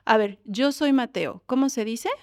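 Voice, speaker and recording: A woman's voice: a little high, normal speed, no room sound, clean studio sound. A woman hosting a community radio show, patiently coaxing a young guest through a short lesson. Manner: patient, coaxing, prompts a child step by step. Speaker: radio host. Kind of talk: community radio presenting and interviewing.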